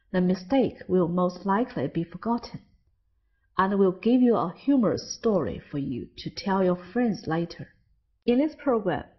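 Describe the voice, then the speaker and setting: A woman's voice: mid-range voice, slightly rough, lo-fi sound. A Chinese woman with a Chinese accent, an English teacher, narrating a scripted lesson and carefully stressing example words. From China.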